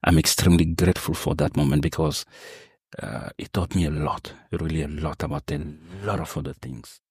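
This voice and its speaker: A man's voice: a bass voice, brisk, creaky, breathy edge, dry recording, clean studio sound. A young man from Cameroon telling his life story in an interview, with many fillers and restarts. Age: young adult. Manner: hesitant, many fillers and restarts, non-native phrasing. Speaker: interview guest, sales professional.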